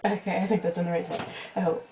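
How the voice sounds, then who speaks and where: A woman's voice: on the low side, flat delivery, quick pace. A young woman hosting a homemade cooking show in her small kitchen, talking in a wry, self-mocking, offhand way. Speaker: home cooking show host. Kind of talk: casual jokey cooking demo. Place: small home kitchen at night. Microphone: fixed camera mic across the kitchen. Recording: echoey, tinny, noisy home camcorder audio.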